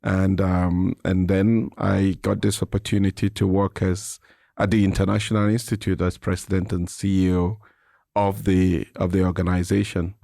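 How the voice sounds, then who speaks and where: A middle-aged man with a Cameroonian English accent, speaking as an interview guest in a measured, reflective, explanatory way. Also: low voice, little pitch movement, vocal fry, dark tone, dry recording, studio quality. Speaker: interview guest, nonprofit president and CEO. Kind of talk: reflective conversational interview answers.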